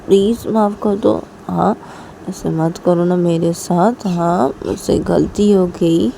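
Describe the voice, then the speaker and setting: A woman voicing a clingy young lover who keeps begging and apologizing in a drawn-out way. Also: fairly low pitch, fairly even intonation, vocal fry, warm tone, slight background noise. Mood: pleading.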